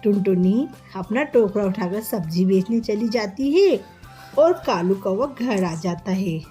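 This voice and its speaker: A woman's voice: medium pitch, animated, a little slow, dark tone, soft background music. A woman narrating a scripted children's story as a storyteller.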